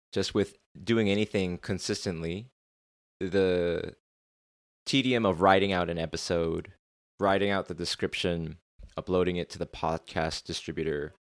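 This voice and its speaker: A man's voice: low voice, dry recording, studio quality. A young adult man hosting a solo podcast, talking about his life in a candid, earnest way and swearing now and then. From United States. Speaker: podcast host. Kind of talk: solo reflective podcast monologue.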